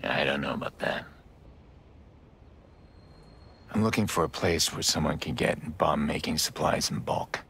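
Very deep, terse American tough guy. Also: moderate pace, gravelly, slight background noise, exaggerated voice. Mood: terse, businesslike. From United States. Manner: terse, stoic, deadpan. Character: famous tough American gunman, terse, middle-aged father figure. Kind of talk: video game cutscene acting. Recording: music behind the voice.

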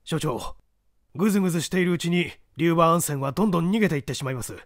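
A man voicing a cunning gentleman thief in an audiobook, speaking politely and assertively while posing as a victim. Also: on the high side, rapid-fire, dry recording, clean studio sound, close to an everyday voice. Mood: urgent, assured. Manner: polite, smooth-talking, assertive. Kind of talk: audiobook character acting.

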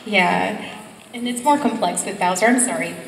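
A woman's voice: mid-range voice, normal speed, vocal fry, heavy background noise. A woman on a convention panel, chatting in a gushing, giggly way about her voice work. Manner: warm-hearted, gushing, giggly. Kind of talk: casual panel talk. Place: large convention hall stage. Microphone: table mic. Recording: echoey hall recorded from far off.

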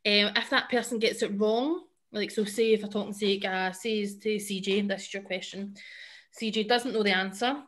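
A woman's voice: mid-range voice, steady tone, moderate pace, a bit bright. A young Scottish woman hosting a casual podcast and quiz over a video call, bubbly and chatty, laughing often. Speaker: podcast host.

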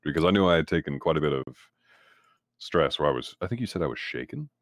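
A man's voice: very deep voice, animated, fast, no room sound, clean studio sound. A man chatting casually as a player in a tabletop roleplaying game.

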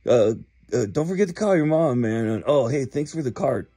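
A man's voice: medium pitch, animated, mellow, slightly rough, no echo, clean studio sound, faint music behind. A man rambling casually in a slacker manner, drawing out his words as if leaving a comic phone message. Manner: laid-back slacker, drawn-out words, frequent "like", chuckling. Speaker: comic voicemail caller.

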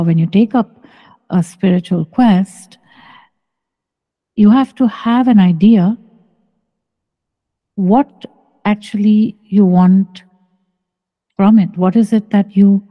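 A middle-aged woman, a spiritual teacher, speaking calmly and deliberately, repeating her key phrases as she teaches. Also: medium pitch, big-room sound, narrow, tinny audio. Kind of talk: satsang teaching, measured and deliberate.

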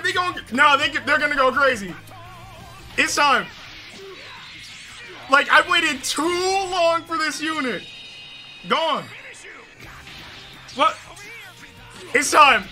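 A young man hosting a gaming video, reacting to a trailer with hype, slang and yelling, speaking on a headset in a small home gaming room. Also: very high voice. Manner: hyped, yelling and laughing, slang-heavy. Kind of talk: excited gameplay reaction.

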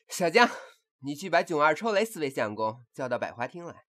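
A woman's voice: sits low, a bit bright, heavily acted. A woman playing a bossy, exasperated male brothel boss in a comic audio drama, commanding and mocking.

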